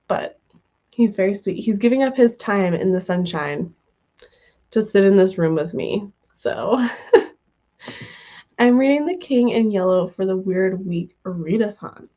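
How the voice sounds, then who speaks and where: A woman hosting a casual reading livestream from home, her voice worn from a cold, chatty and relaxed with many ums and soft laughs. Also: mid-range voice. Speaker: livestream host of reading sprints. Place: at home, room with her cat. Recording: dull lo-fi stream audio.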